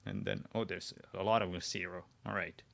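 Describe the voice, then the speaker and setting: Swedish guy walking viewers through a tutorial, low gravelly voice, thinks out loud. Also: level tone, moderate pace. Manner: thinking aloud while typing, frequent restarts. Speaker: host. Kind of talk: live screen-share tutorial.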